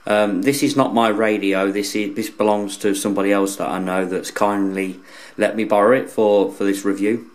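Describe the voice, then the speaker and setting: A man's voice: fairly low pitch, fairly even intonation, normal speed. A man casually reviewing gear unscripted, in a plain-spoken, rambling, hesitant way that often repeats words. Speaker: radio hobbyist reviewer.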